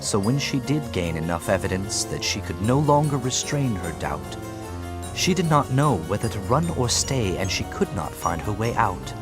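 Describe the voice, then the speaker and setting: A man's voice: on the low side, sing-song, moderate pace. A man narrating an audiobook as a theatrical storyteller, solemn and dramatic. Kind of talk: theatrical scripted storytelling in rhyme. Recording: music behind the voice.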